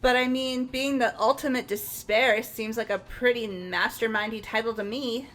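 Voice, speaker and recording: Woman as a high-voiced, inquisitive schoolgirl. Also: fairly even intonation, normal speed, a bit bright, natural voice. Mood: confused. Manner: casual, questioning. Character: naive, excitable, plain-spoken teenage schoolgirl. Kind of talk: fan-dubbed video game character acting. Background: game music.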